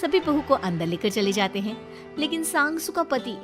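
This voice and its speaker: Woman narrating a cartoon story, highish voice, lots of up n down, music pretty loud behind. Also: big pitch swings, moderate pace. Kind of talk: animated scripted storytelling in Hindi.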